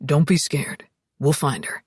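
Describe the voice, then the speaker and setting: Woman voicing a low-voiced, protective man. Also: animated, unhurried, slightly rough, no echo, studio quality, full character voice. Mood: tender, reassuring. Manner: firm, soothing, direct. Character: steady, protective man, urgent and reassuring. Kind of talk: audiobook character dialogue.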